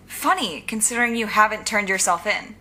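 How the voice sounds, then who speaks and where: A woman's voice: mid-range voice, a little fast, pushed and bright, slight background noise, lightly acted, low-quality recording. A woman playing a wry, defiant young genius supervillain in an audio drama, with dry retorts. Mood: challenging.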